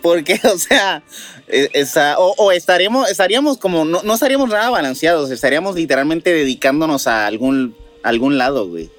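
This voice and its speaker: A man with a notably high voice and a Mexican accent talks as a podcast co-host. He is informal and sometimes doubles back over his words. Music runs behind him. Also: expressive, brisk, fairly bright tone. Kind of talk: casual news commentary on strange stories.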